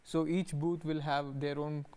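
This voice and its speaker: A man's voice: a little high, level tone, a little slow, darkish tone, clean tone. A young man from India, a student guest in a TV studio interview, speaking hesitantly with many fillers. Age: young adult. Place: TV news studio.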